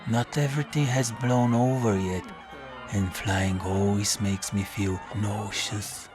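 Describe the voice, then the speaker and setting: An actor playing a battered, hard-drinking detective, narrating a noir audio drama in a deadpan, world-weary, wry way. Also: male voice, on the low side, slow, over music.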